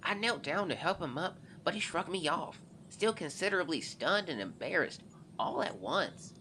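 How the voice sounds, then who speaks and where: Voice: medium pitch, expressive, normal speed, bright, forceful tone, slight background noise, poor audio. An actor voicing a young boy who earnestly narrates events into his journal in a scripted audio drama. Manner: earnest, confiding, storytelling.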